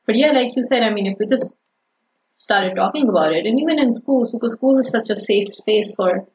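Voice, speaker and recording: A woman from Pakistan whose first language is Urdu, chatting casually as a podcast guest and pausing often with fillers and restarts. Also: on the high side, darkish tone. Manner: hesitant, many fillers and restarts. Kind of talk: casual conversation. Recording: dull lo-fi podcast audio.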